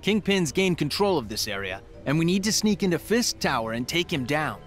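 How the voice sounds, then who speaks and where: An actor playing an earnest young martial-arts superhero in a video game, friendly in manner. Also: male voice, a little high, very animated, a little fast, faint music behind. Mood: earnest, upbeat.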